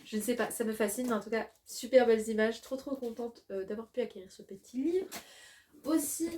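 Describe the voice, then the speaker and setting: Chatty young woman with a mellow voice. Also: fairly high pitch, fairly quick, slight background noise. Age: 20s. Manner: chatty and gushing, many fillers. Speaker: vlogger and design student. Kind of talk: casual vlog chat to camera. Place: at home.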